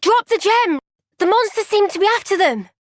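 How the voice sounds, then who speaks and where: An actress playing an earnest, gentle but firm young woman fighter in a video game, speaking in a measured, sincere way. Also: very high voice, lively intonation, dry recording, clean studio sound. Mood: alarmed, urgent. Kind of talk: video game cutscene voice acting.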